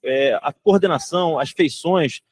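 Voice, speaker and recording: A man's voice: a little high, measured pace, a little mellow, lightly acted. A man voicing an observant race commentator with a Brazilian accent, analysing runners in live sports commentary style. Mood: analytical.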